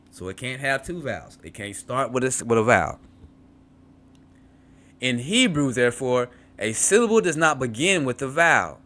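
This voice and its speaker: Male teacher, lively pitch swings, reads a textbook then explains in his own words, repeats words to drill them. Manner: repeats words to model pronunciation, frequent restarts and asides. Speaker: Hebrew teacher. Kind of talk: reading a textbook aloud and explaining.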